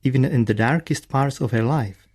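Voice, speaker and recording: A man's voice: mid-range voice, normal speed, darkish tone. A man narrating a radio play in scripted storytelling style.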